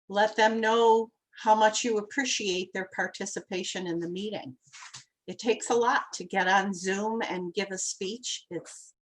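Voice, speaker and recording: A woman hosting an online club meeting over a video call, friendly and orderly as she introduces each speaker. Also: medium pitch. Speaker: meeting host.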